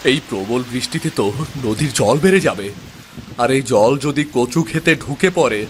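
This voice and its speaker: A man's voice: mid-range voice, lively intonation, moderate pace, soft background music, natural voice. A man playing a poor village farmer and father in a cartoon moral tale, weary, devout and resigned. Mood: worried, resigned. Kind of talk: animated moral-tale dialogue.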